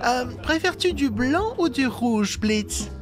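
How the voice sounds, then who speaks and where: A man voicing a refined, smitten owl-demon prince in a French animated fan dub, theatrical, polite and flustered. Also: extremely high pitch, measured pace. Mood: giddy. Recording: music behind the voice.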